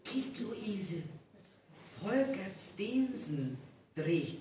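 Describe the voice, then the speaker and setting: Ein Mann spielt einen skeptischen Dorfbewohner in einem live aufgeführten nordfriesischen Hörspiel. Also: male voice, high-pitched, big pitch swings, very slow, dark tone, full character voice. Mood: surprised. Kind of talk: live radio play acting in North Frisian. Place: live stage performance in a hall. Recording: roomy live hall, distant, faint noise.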